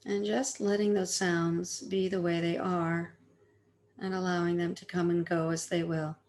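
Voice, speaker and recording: A woman teaching meditation, giving gentle, soothing guided instructions with long pauses between phrases. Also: fairly low pitch, flat delivery.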